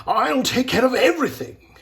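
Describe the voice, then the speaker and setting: A man voicing an excitable, boastful showman agent from a storybook, booming and exclamatory in a dramatic way. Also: a little slow, gravelly, heavily acted. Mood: triumphant.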